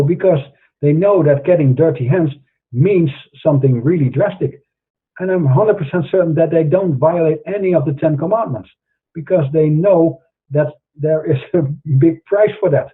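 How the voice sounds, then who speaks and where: Husky Dutch-accented man over a tinny line. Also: on the high side. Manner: earnest, preachy, with frequent fillers and restarts. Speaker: host. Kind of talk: monologue to camera in an online show.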